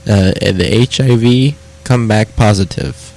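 A man's voice: on the low side, slow pace, creaky, a little mellow. A man voicing an insistent foreign doctor on a prank call, speaking hesitant broken English and repeating himself. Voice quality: broken English with dropped endings. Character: foreign male doctor delivering test results, insistent. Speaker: prank caller.

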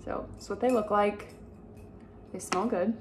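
A woman's voice: medium pitch, steady tone, measured pace, background noise. A young woman chatting casually to the camera about food at home, upbeat and gushing. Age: young adult. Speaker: lifestyle vlogger. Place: home kitchen, indoors.

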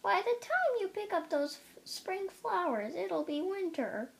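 A child reader puts on a rude, flashy storybook hare. He is high and lively, taunting and full of boasts, with slow delivery. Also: creaky, full character voice.

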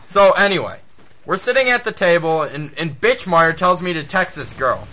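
High-pitched, animated, foul-mouthed young man. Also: normal speed. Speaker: guest storyteller. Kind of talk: casual storytelling.

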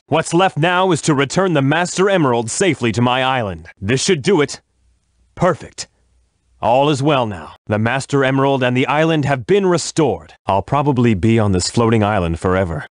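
An actor playing a tough, hot-headed young echidna guardian in a video game, earnest, blunt and declamatory. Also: male voice, medium pitch, no room sound, studio quality, close to an everyday voice. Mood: solemn, resolute.

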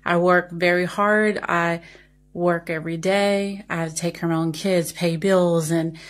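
A woman's voice: fairly low pitch, steady tone, a little slow. A woman with a Southern American accent and a slight speech impediment, talking earnestly and carefully in a reflective personal way. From Southern United States. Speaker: social media video responder. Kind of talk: reflective personal talk to camera.

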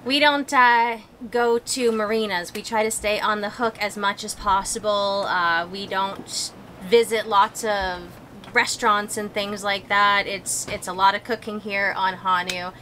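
A woman's voice: mid-range voice, fairly even intonation, measured pace, pushed and bright, a little hiss or hum. A North American woman chatting casually as co-host of a vlog, answering viewer questions. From born in the United States, grew up in Canada.

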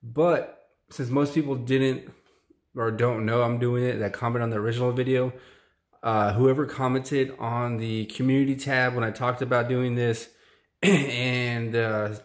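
A young man casually talking to the camera in a small room, drawing giveaway winners. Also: medium pitch. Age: young adult. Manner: casual, rambling, thankful. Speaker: fishing channel host. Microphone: camera close up at desk.

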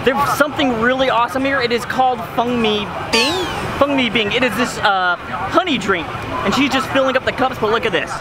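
A young man filming himself at a busy outdoor night market on a handheld camera, talking excitedly and upbeat about the food. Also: extremely high pitch, fairly quick. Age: young adult. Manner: excited, upbeat, mixes in simple Mandarin. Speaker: vlogger. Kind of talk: enthusiastic food vlog. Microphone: camera held at arm's length. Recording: loud music and crowd noise behind. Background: loud crowd chatter and music.

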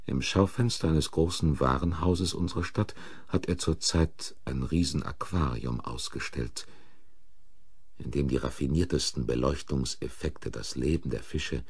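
A brooding, defensive accused man in a German crime radio play. His very deep voice is gravelly and recorded dry and close. He sounds bitter and sardonic. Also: unhurried, no echo, exaggerated voice. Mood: bitter, resigned. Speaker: actor.

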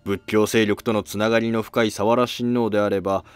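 A man playing a calm, scheming, self-sacrificing court noble in formal archaic speech for a history drama. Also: fairly low pitch, a little fast, smooth, dry recording, faint music behind, natural voice. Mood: grave resolve.

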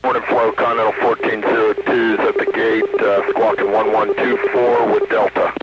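A man's voice: lowish voice, little pitch movement, normal speed. A man, an airline pilot, making brief procedural calls to air traffic control over radio. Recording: dull narrowband cockpit radio.

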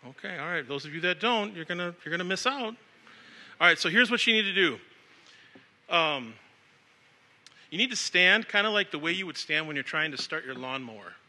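A man's voice: high-pitched, animated, brisk, pauses often, sharp tone. A man in his forties, born in Samoa and raised in the United States, giving an upbeat, casual live talk and leading the audience. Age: 40s. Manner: upbeat, casual, leads the crowd. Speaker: speaker at an education event.

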